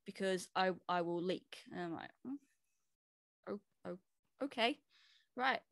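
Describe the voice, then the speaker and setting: A woman's voice: mid-range voice, unhurried, fairly bright tone, no room sound. NZ woman trainer, chatty talk on a video call, dry close sound. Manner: chatty, explains with quoted asides. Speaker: personal trainer presenting to a trainers' meeting. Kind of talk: casual presentation over a video call.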